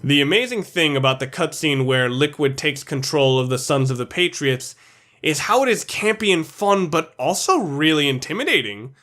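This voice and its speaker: Higher-voiced man narrating a countdown. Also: fairly bright tone. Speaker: video essayist, countdown narrator. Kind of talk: scripted countdown commentary.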